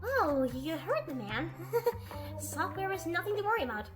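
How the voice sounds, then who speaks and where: A woman voicing a polite, anxious young red panda office worker, meek and hesitant, in an animated comic dub. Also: very high-pitched, normal speed, music in the background. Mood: nervous.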